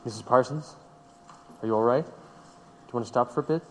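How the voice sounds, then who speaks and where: An actor playing a considerate younger male interviewer in an audio drama, gently questioning. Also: mid-range voice, a little fast, slight background noise, natural voice. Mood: concerned. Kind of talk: low-key dramatic dialogue. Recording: long hall-like reverb.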